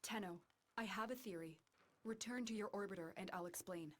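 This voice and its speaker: A woman playing a composed, authoritative guide in a game drama, giving measured, clinical instruction. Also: medium pitch, lightly acted. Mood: thoughtful.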